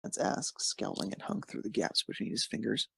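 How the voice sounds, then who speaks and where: A man's voice: on the low side, animated, normal speed, creaky, breathy edge, no room sound, studio quality. A man reading scripted fan fiction narration, occasionally stumbling over the words.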